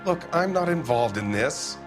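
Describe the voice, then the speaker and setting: An actor playing a pompous, evasive middle-aged factory owner who blusters and dodges questions, with music behind him. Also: male voice, on the high side, lively intonation, moderate pace, lightly acted. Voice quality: blustering, defensive. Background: music score.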